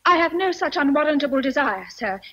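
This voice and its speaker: An actress playing a composed, forthright young governess in a radio drama, with a breathy voice. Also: female voice, high voice, lively intonation, a little fast, a bit bright, highs cut off. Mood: polite, firm. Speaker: actor.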